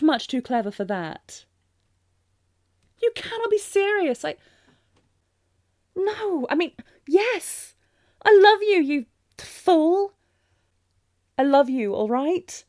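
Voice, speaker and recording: A woman's voice: high-pitched, sing-song, slow pace, long pauses, darkish tone, dry recording, full character voice. Woman acting an earnest, genteel fantasy princess, close and dry. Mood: exasperated love confession. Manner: theatrical, breathy exclamations with genteel, old-fashioned phrasing. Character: lovestruck, flustered young fantasy princess, refined and earnest. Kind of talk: romantic audio roleplay spoken to the listener.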